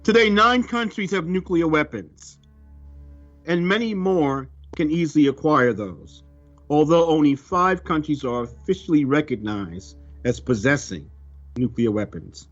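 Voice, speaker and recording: A man reading a scripted religious report aloud in a solemn, deliberate, emphatic manner. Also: a little high, expressive. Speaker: religious reader of a prophecy report. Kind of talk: scripted narration, read aloud. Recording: dull lo-fi audio under music. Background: music.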